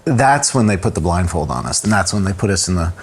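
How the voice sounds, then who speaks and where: Calm, reflective low-voiced man. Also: fast, slightly rough. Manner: reflective, calm, with frequent "you know" fillers. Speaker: interview guest, filmmaker and journalist. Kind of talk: conversational personal account.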